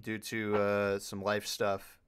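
A man's voice: lowish voice, monotone, takes it slowly, a crowd in the background. A man talking casually as a panelist during a question-and-answer session. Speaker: panelist, dubbing group member.

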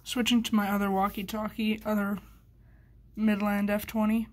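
A woman's voice: mid-range voice, flat delivery, normal speed. Casual woman rambling through a hobby vlog. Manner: informal, rambling, thinking aloud. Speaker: hobbyist video maker.

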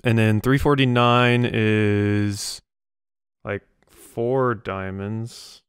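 A man's voice: lowish voice, fairly even intonation, drawn-out words, clear, resonant tone, no room sound, studio quality. A man giving casual game commentary, musing to himself as he works things out. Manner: thinking aloud, musing to himself. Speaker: let's play host.

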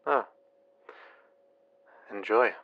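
A man's voice: on the low side, extremely slow, vocal fry, dry recording, muffled recording, full character voice. A man playing a weary, guarded doctor working late in an audio drama, speaking tersely with sighs. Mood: tired, terse. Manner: terse, sighing, evasive. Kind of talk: low-key naturalistic audio drama dialogue.

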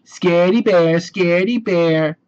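Storybook boy bear cub, played by a man: cheeky big brother, raised pitch, emphatic, slightly muffled. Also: a little slow, darkish tone, clean tone. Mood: teasing.

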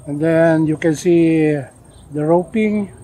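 An older man showing off his denim jacket outdoors, talking casually with lots of pauses and "uh" fillers. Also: on the high side, warm tone, rough recording. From Philippines. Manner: unhurried, many "uh" fillers and restarts. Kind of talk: casual show-and-tell. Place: outdoors in a leafy garden.

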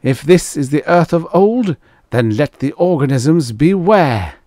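A man's voice: fairly high pitch, expressive, unhurried, mellow, no room sound, clean studio sound, voice pushed up. A man voicing a bossy, visionary primitive man in a storybook, commanding and declamatory. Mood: exultant.

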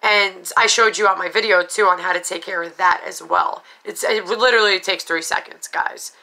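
A congested, nasal woman in her thirties chats straight into her phone in a small room at home. Her voice is bright with a gravelly rasp, and she talks bluntly, wandering off topic and back. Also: fairly low pitch, steady tone, moderate pace. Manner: chatty, blunt, drifts off topic. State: congested from allergies. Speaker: vlogger. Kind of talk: casual chat to camera. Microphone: phone camera at arm's length.

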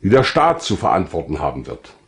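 An older man narrating his own life story from a script in a measured, formal way. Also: deep voice, wildly swooping pitch, gravelly.

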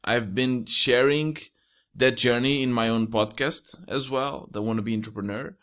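A man's voice: medium pitch, fairly even intonation, a little slow, fairly bright tone. A young man with a Portuguese accent, talking casually as a podcast guest into a close microphone at home. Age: young adult. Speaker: podcast guest, indie entrepreneur. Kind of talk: casual interview chat. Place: small room at home. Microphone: close studio mic with pop filter.

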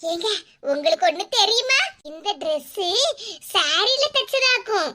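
A woman's voice: very high voice, animated, measured pace, pushed and bright, exaggerated voice. Woman playing a sly scheming young wife. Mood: coaxing. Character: mischievous young wife stirring up trouble.

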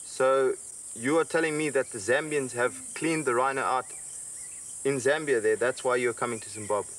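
A man's voice: medium pitch, normal speed, fairly bright tone. A man interrogating someone outdoors, pressing with repeated questions. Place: outdoors in the bush. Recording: distant outdoor mic, faint insect noise.

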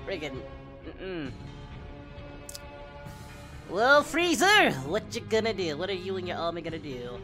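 A man voicing a goofy, excitable parody of a cartoon hero in casual reaction commentary, swinging from muttering to exclamations. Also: high voice, measured pace, long pauses, music in the background. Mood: amused, hyped. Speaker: reaction video host.

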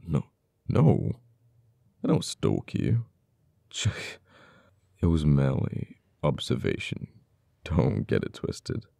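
Casting: male actor for cold, uptight official in ASMR roleplay, extremely low gravelly voice, slow and halting, bitter over a lost friend. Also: dark tone, no room sound, clean studio sound, full character voice. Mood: flustered, defensive. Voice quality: very deep, gravelly. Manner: halting, teasing, dramatic pauses and repeated words.

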